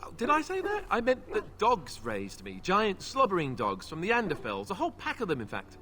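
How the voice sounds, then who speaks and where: A wry joking young knight. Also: male voice, high-pitched, wildly swooping pitch, brisk, sharp tone, a little hiss or hum, full character voice. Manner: joking, self-deprecating banter. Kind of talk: video game voice acting.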